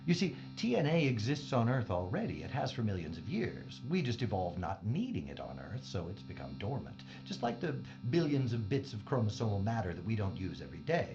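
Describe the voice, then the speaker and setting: A mad scientist villain from a sci-fi audio drama , acted by a man, with a swooping, animated delivery. He is pompous and coldly polite, full of mock regret. The sound is dull and lo-fi, with music clearly behind him. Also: lowish voice, brisk, few pauses. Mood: smug lecturing.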